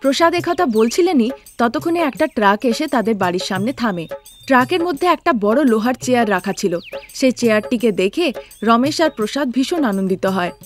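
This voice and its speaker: A woman's voice: on the high side, animated, brisk, soft background music. A woman narrator telling a cartoon story in a scripted storytelling style.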